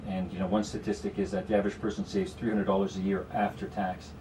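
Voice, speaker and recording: A man's voice: deep voice, little pitch movement, fast talker, dark tone, distant mic, a little hiss or hum, low-quality recording. A man with a Canadian accent, a guest strategist explaining investments in a radio studio conversation, with many "you know"s. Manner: explanatory, talks in long runs with frequent "you know".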